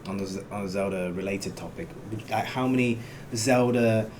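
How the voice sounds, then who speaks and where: A man's voice: lowish voice, normal speed, background noise. A man, co-hosting a live stream, tossing out ideas in a loose way padded with many fillers. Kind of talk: casual live-stream chat.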